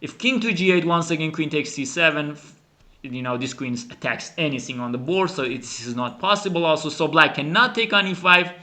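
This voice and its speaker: Guy doing excited chess commentary, non-native english, sharp bright voice, a bit high, really emphatic. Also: brisk. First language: not English. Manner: dramatic, emphatic, acts out the players' thoughts. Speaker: chess teacher and channel host. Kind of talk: animated chess game commentary.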